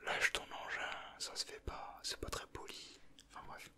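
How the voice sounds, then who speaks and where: A man giving casual, teasing ASMR commentary in a breathy whisper, close to the microphone. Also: normal speed, pushed and bright. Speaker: ASMR video creator.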